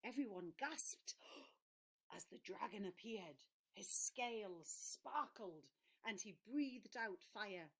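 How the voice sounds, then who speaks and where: A woman storyteller reading to children in a dramatic, deliberate and clearly phrased way. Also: medium pitch, lively intonation, a bit bright. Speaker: storyteller for an English school.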